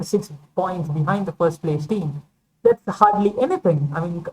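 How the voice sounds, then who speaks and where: A woman's voice: medium pitch, a little mellow, low-quality recording. A woman talking football in a casual vlog monologue, rambling and hedging with many fillers and self-corrections. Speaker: football vlogger.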